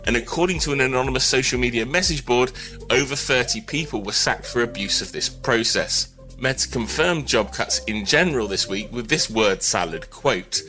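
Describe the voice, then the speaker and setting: Male tech commentator, punchy bright delivery, opinionated and dry-witted, over a music bed. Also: mid-range voice. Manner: wry, opinionated. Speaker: technology news commentator. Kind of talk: conversational news commentary. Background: background music.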